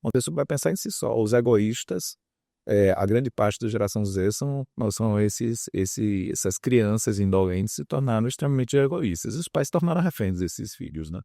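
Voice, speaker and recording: A man's voice: on the low side, animated, normal speed, creaky, dark tone, no echo, studio quality. A man, explaining his opinions in an interview with examples and repeated words for emphasis. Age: 50s. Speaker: guest psychologist and author.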